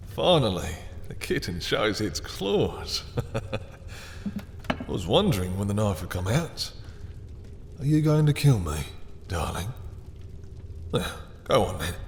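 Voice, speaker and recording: A man voicing a possessive, sadistic male vampire lord in an audio roleplay, smooth and taunting, with soft chuckles and low whispers. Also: big-room sound, a little hiss or hum, full character voice. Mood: menacing.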